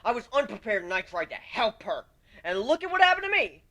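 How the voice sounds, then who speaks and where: A man's voice: very high voice, sing-song, brisk, sharp tone, voice pushed up, exaggerated voice. A man voicing a wounded, vengeful but fragile male griffin warrior in a theatrical dramatic story reading. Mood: enraged, ranting. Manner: intense, theatrical, swinging into brooding.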